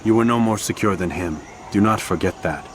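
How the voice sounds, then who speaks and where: An actor playing a stoic, proud assassin in a video game, terse, firm and earnest. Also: male voice, on the low side, brisk, lightly acted. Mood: solemn, resolute. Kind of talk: dramatic video game acting. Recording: clear background noise.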